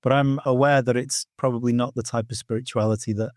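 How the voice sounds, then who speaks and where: A man's voice: medium pitch, steady tone, fast, no room sound, clean studio sound. Earnest Welsh man, thoughtful talker. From Wales. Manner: thoughtful, earnest, many "you know" asides. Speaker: guest teacher of Welsh myth. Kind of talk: reflective podcast interview answers.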